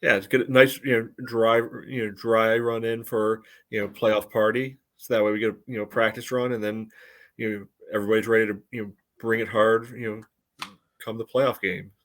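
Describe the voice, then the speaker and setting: A man co-hosting a casual sports podcast, talking in a halting way peppered with verbal tics. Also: fairly low pitch, level tone, a bit bright. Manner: halting, peppered with "you know". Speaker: podcast co-host. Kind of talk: casual sports commentary chat.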